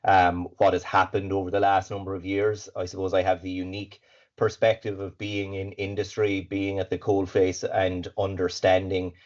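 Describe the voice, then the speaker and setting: Raspy deep-voiced Irish man presenting. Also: completely flat delivery, normal speed, darkish tone. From Ireland. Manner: upbeat, fluent, with filler words and repeats. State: hay fever, slightly congested. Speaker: guest speaker, supply chain consultant. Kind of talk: webinar presentation. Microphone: video call. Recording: dull lo-fi video call audio.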